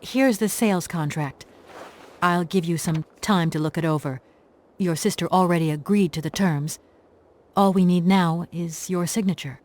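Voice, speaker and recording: A woman's voice: on the low side, normal speed, darkish tone, close to an everyday voice. An actress playing an earnest young professional woman, courteous and businesslike, in a video game.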